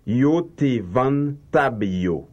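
A man gives careful, deliberate translations for learners to repeat. His pitch moves around a lot and he takes his time over every word. The recording sounds dull and lo-fi, like an old tape. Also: animated. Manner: slow, carefully enunciated translations. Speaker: language teacher. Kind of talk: slow repeat-after-me language drill.

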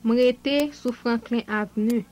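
Clear, careful woman voicing lesson dialogue. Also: fairly high pitch, unhurried, darkish tone. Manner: careful, clear enunciation for learners. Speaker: language lesson speaker.

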